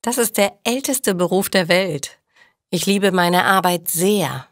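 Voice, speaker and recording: A woman's voice: fairly low pitch, slow, no echo, studio quality. A woman narrator voicing a friendly, dedicated midwife and aunt in storybook dialogue for language learners. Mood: proud. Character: dedicated adult midwife, friendly aunt who loves work.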